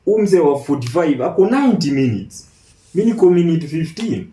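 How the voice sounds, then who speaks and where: Male, twenties, Kenyan accent, assertive casual debater, dark warm tone, very roomy sound. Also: on the high side, very animated, normal speed. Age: 20s. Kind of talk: casual debate, switching between Swahili slang and English. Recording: echoey room.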